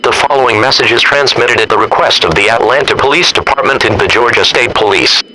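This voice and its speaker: A very sharp, bright synthetic man's voice delivers an emergency alert. It is formal and impersonal, like scripted official notices, over a noisy radio-style broadcast. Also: mid-range voice, a little fast. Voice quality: synthetic text-to-speech voice.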